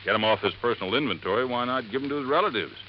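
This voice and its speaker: An actor playing a folksy, earnest boat-landing owner in 1950s radio drama, telling things in a plain, halting, emphatic way. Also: male voice, mid-range voice, lightly acted. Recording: dull, noisy vintage radio recording.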